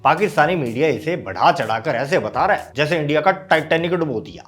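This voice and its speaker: A man's voice: on the high side, expressive, a little fast, fairly bright tone. A man hosting a satirical news roast, delivering sarcastic commentary on news clips with a mocking, scolding, slangy and punchy manner.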